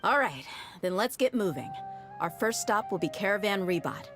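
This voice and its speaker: A woman playing a confident, easygoing young desert mercenary in a video game, speaking with relaxed assurance. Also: mid-range voice, moderate pace, lightly acted. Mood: assured, warm. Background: soft game music.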